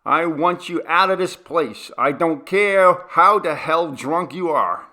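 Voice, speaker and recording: A man voicing an angry, coarse male rent collector in a storybook reading, barking abuse and demands in an indignant, shouting manner. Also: fairly high pitch, slow, voice pushed up. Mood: furious, shouting.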